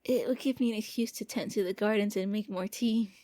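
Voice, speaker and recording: A woman voicing a gentle, lonely young vampire prince in an anime-style ASMR roleplay, speaking softly and coaxingly with a playful, hesitant manner. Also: mid-range voice, brisk, no echo, close to an everyday voice. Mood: eager, shy.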